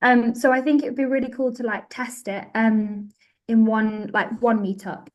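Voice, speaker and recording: A woman's voice: on the high side, fairly even intonation, moderate pace, muffled recording. A woman in her twenties with a northern English accent, chatting casually and informally as a podcast guest. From Warrington, northwest England. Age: 20s. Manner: informal, peppered with "like". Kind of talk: casual chat. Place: home room, indoors. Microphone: device mic on a video call.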